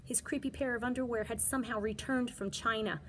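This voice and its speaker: A woman's voice: fairly high pitch, steady tone, brisk, slight background noise. A woman reading a children's storybook aloud as a teacher, with dramatic, emphatic delivery.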